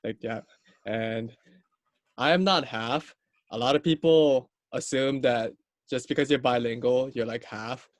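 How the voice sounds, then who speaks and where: A man's voice: medium pitch, unhurried, no room sound. Upbeat young man, dry close sound. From Hawaii, US. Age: young adult. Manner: upbeat, joking, talkative. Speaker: podcast co-host, student. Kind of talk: casual podcast chat.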